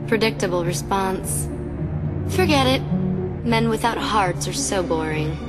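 A woman's voice: medium pitch, expressive, unhurried, close to an everyday voice. An actress playing a young female villain in a video game, sarcastic and taunting. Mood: mocking. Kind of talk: video game cutscene acting. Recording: loud dramatic music underneath.

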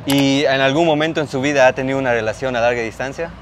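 A man's voice: mid-range voice, fast talker, slight background noise. A man hosting a street interview, asking plain questions outdoors.

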